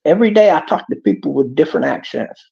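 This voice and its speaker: Texan man, folksy preachy rambler, gravelly and dark-toned. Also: fairly high pitch, animated, fast. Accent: Texas. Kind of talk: rambling monologue. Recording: dull lo-fi clip.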